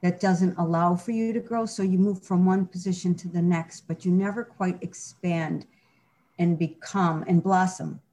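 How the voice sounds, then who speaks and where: A woman's voice: fairly low pitch, steady tone, normal speed, dark tone. A middle-aged woman talking earnestly and reflectively as an interview guest, often restarting her sentences. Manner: earnest, reflective, with frequent restarts and "you know". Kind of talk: candid personal interview.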